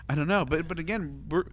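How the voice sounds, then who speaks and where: A man in casual, opinionated conversation as a podcast host, animated and swearing freely as he acts out reactions. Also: on the high side, moderate pace. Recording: clear background noise.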